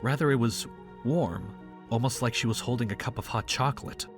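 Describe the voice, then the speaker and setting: A man's voice: medium pitch, fairly quick, music in the background. A man reading scripted third-person narration as the storyteller of an audio drama.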